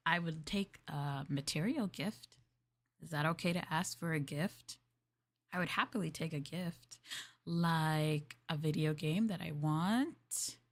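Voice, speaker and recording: A young woman with a slightly low voice chats softly in a maid roleplay, as if to her master. She rambles, giggles often and trails off into little hesitant fillers. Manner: playful, addressing the listener as master. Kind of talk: soft casual ASMR roleplay chat.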